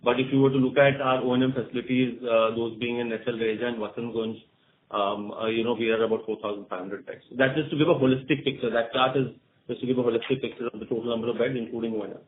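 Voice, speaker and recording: A man's voice: mid-range voice, steady tone, fast. A man with an Indian accent, a company executive answering questions on an earnings call, hesitant and repeating words. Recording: phone-like conference line with faint noise.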